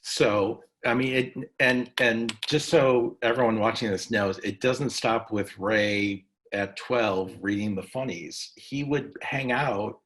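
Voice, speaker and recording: A man's voice: on the low side, slow, hardly any pauses, fairly bright tone. A man hosting a casual interview, telling anecdotes in a rambling, enthusiastic way. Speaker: interviewer, session host.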